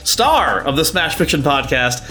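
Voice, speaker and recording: Man, podcast co-host, slightly high voice, theatrical announcer flair, scripted intros mixed with chat, music behind. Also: very animated, measured pace, bright, forceful tone.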